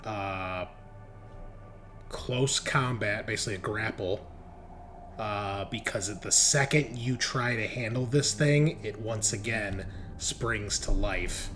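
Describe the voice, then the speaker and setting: A man's voice: on the low side, slow, a bit bright, soft background music. A man running a tabletop roleplaying game, improvising descriptive narration and calling out rules. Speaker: game master.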